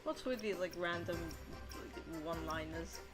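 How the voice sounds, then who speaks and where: A woman's voice: lowish voice, lively intonation, normal speed, loud music behind the voice. A woman giving casual, musing gameplay commentary in an offhand way. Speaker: gaming streamer.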